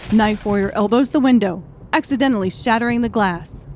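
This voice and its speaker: A woman's voice: a little high, fairly quick, a little mellow, slight background noise. A woman giving scripted audiobook narration as the storyteller of a drama.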